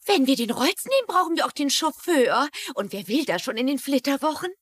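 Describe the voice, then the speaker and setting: A woman's voice: high-pitched, sing-song, fairly quick. A woman playing a flashy, gushing new bride in a dubbed TV crime drama. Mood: gushing, showy.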